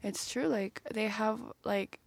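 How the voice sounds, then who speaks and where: A woman's voice: medium pitch, takes it slowly, gravelly, dry recording. A young woman of Peruvian background hosting a casual podcast chat, talking loosely with lots of "like". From Peru. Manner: fills speech with "like". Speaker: podcast host.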